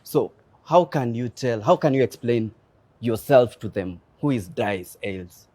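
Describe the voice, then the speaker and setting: Energetic man hosting a casual interview. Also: mid-range voice, measured pace. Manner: energetic greeting, frequent affirming murmurs. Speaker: interview host.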